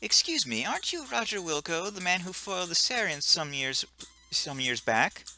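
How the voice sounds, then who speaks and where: A man's voice: high voice, sing-song, normal speed, pushed and bright, soft background music, full character voice. A man voicing a polite adult female ambassador who speaks in a courteous, inquiring way. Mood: curious.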